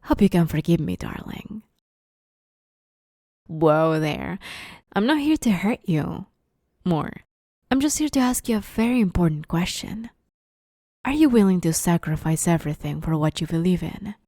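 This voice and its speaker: Woman acting a dark-toned, playfully sadistic female vampire. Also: fairly low pitch, sing-song, normal speed, long pauses, no echo, studio quality. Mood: gleeful, cruel. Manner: teasing, mockingly sweet, menacing, often hushed. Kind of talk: ASMR roleplay, dramatic monologue.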